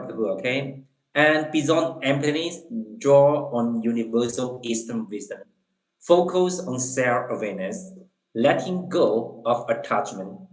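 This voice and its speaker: Slow, halting man with Chinese accent. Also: mid-range voice. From Eastern China. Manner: halting, repeats words, searches for English phrases. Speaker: livestream host. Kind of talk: casual livestream chat with viewers. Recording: echoey room.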